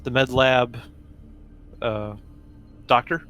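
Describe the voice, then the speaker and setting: Mid-pitched man chatting as a game player. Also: fairly even intonation, slow, a bit bright, highs cut off. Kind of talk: casual game chat.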